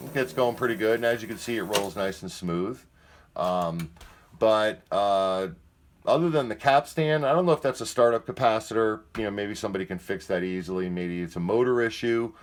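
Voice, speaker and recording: A man's voice: lowish voice, fairly quick, a little hiss or hum. A man giving a casual, unscripted product demonstration in a plain-spoken, candid and somewhat hesitant way. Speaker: presenter of a used tape deck demonstration. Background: music playing from the tape deck at times.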